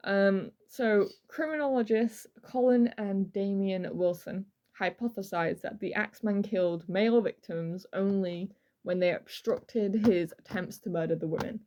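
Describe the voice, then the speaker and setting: A woman's voice: mid-range voice, a little slow, mellow. Informal woman telling true crime stories. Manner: informal, emphatic repetition for effect. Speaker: true crime podcast co-host. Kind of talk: casual true crime storytelling chat.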